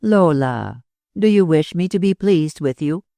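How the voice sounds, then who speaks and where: A woman voicing a calm, curt young Englishman in a read-aloud story. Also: low voice, unhurried, creaky, no echo, heavily acted. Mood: cool. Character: cool-headed young Englishman.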